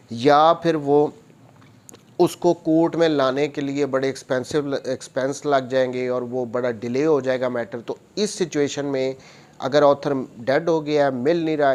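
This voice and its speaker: Slow-paced Pakistani man giving a lecture. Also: medium pitch, darkish tone. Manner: methodical, repeats and rephrases each point. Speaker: law lecturer. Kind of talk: explanatory lecture, reading statute clauses and explaining them.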